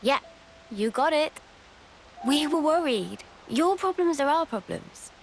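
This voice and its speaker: A woman's voice: high-pitched, animated, measured pace, a little hiss or hum. A woman playing a caring, earnest young comrade in a video game cutscene. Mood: concerned.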